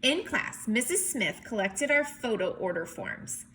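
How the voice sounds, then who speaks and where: A woman reading a storybook aloud in an expressive, emphatic way, with chatty asides as if to young children. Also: fairly high pitch, unhurried, a little hiss or hum, low-quality recording. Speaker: teacher or reader reading a picture book aloud.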